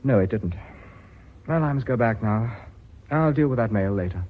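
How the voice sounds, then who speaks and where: An actor playing a suave, sardonic company director in a radio play, speaking with urbane, dry irony. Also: male voice, fairly low pitch, lively intonation, normal speed. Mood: distracted, rueful. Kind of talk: British radio play acting. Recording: thin, phone-like radio recording.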